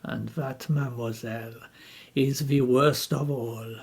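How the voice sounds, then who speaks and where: A woman reading the part of an older male detective, calm and formal, in a slow, deliberate husky voice. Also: very deep voice, full character voice. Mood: grave.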